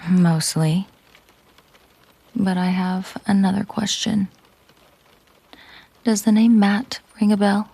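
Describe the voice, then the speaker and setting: A woman playing a possessive, coolly menacing mafia boss girlfriend in an intimate audio roleplay, teasing and commanding in a breathy, husky murmur. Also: medium pitch, flat delivery, slow pace, long pauses, warm tone, no echo, full character voice.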